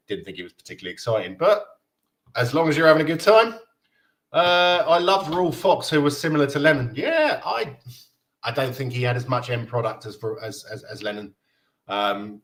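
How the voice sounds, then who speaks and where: A man's voice: medium pitch, a bit bright. A man from Denmark in his thirties hosting a casual fan live stream, chatting loosely and reading viewer comments aloud with frequent laughs. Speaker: fan livestream host.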